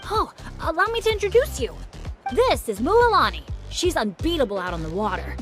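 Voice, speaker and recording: A woman's voice: high-pitched, big pitch swings, brisk, a bit bright, faint music behind. A woman playing an earnest, upbeat teenage boy adventurer in an anime game, eager and sincere. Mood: proud, excited.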